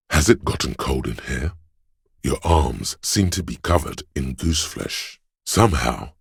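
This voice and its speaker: A man voicing a brooding, omniscient spirit of a cold city in a video game, narrating in a measured, solemn, ominous way with a gravelly, husky voice. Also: rumbling low, no room sound, studio quality, full character voice. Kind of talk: atmospheric literary second-person game narration.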